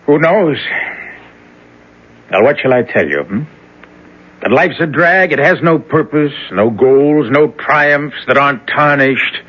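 A cold, brooding youth turned to the devil for an old radio horror play. His voice is breathy and husky, and his speech is slow and deliberate. The sound is dull and muffled, like an old broadcast. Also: a little high, lively intonation. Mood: bitter. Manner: bitter, then chanting invocations. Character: young man possessed, cold devil worshipper. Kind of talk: 1970s radio drama acting.

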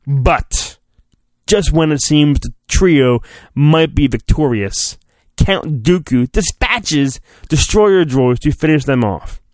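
Animated, high-pitched man reading theatrically. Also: slow pace, dry recording. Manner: theatrical, irreverent, often breaking off to comment. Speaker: podcast host. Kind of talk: mock-dramatic storybook reading with crude comic asides.

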